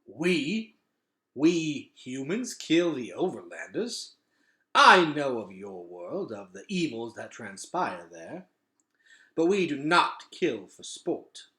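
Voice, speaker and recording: A man voicing a dignified old man of an underground kingdom in a children's novel, speaking in a formal, measured and courteous way. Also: mid-range voice, very animated, sharp tone, reverberant, full character voice. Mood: stern. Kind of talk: children's novel read-aloud.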